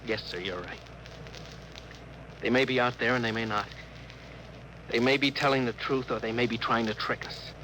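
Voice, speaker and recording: An actor playing an earnest, anxious, deferential ship's officer in a 1950s radio drama. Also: male voice, medium pitch, steady tone, moderate pace, pauses often, natural voice. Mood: subdued, anxious. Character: earnest, anxious young male officer pleading with his captain. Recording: noisy vintage radio broadcast recording.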